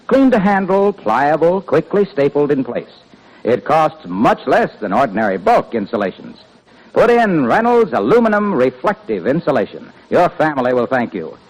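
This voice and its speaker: A male announcer delivering a polished sales pitch in 1950s radio style. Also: rough recording. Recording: old radio broadcast.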